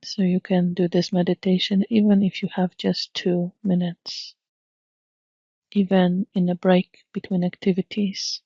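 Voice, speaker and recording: Slow, even-toned woman guiding meditation. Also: lowish voice, slightly rough, no echo, highs cut off. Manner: unhurried, gently instructive, with long pauses. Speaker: meditation guide. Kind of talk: guided mindfulness meditation.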